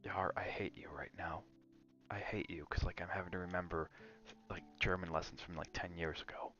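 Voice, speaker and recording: A man's voice: sits low, steady tone, moderate pace, sharp tone, dull audio, soft background music. A man chatting casually on an ASMR gaming livestream, rambling and drifting between chat and game reactions. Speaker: game streamer.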